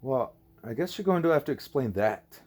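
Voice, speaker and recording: A man's voice: mid-range voice, animated, moderate pace, a little mellow, natural voice. A man voicing a curious, frank young girl pupil in an audiobook, plain-spoken and questioning. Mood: puzzled.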